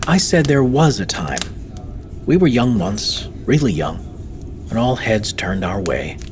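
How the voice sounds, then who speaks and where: A man voicing an old drunken bard, humbled and grateful, speaking in a halting, breathless, theatrical way for a fantasy story. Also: fairly low pitch, big pitch swings, a little hiss or hum, pitched above the performer's own voice. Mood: wistful.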